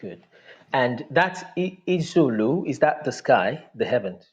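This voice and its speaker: A man whose first language is Yoruba, a guest explaining things step by step in an unhurried, measured way over a video call. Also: medium pitch, lively intonation, muffled recording, a little hiss or hum. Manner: measured, explains step by step with frequent okay and mm-hmm. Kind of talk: explanatory conversation.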